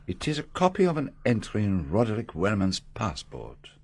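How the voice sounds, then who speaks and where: Fastidious Belgian detective, low husky voice, formal, methodical, courteous, radio drama. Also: male voice, wildly swooping pitch, a little mellow, dry recording, heavily acted. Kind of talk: British radio drama acting.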